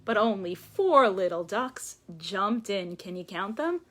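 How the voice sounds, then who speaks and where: A woman's voice: mid-range voice, expressive, a little slow. A woman children's librarian leading a read-aloud and sing-along, speaking to young children and inviting them to join in. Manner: addresses young children, invites counting and joining in. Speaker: children's librarian hosting a storytime.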